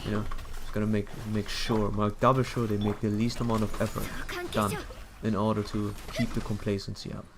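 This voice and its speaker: A man with a fairly low voice chats casually while streaming a game. He muses and gives opinions, circling back on his words. Clear noise sits behind him. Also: little pitch movement, a little fast, hardly any pauses. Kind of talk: casual rambling commentary while gaming.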